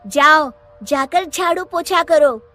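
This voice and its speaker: A woman's voice: high-pitched, takes it slowly, pushed and bright, faint music behind, voice pushed up, heavily acted. A woman voicing a scheming, commanding witch who disguises herself as a girl in a cartoon story. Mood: bossy, defiant. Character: sly witch disguised as a young girl, menacing and bossy.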